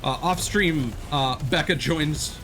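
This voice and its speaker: A man's voice: fairly high pitch, slow pace, fairly bright tone, faint music behind. A man giving casual, playful live game commentary and chatting with viewers, swearing freely. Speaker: live streamer, gamer.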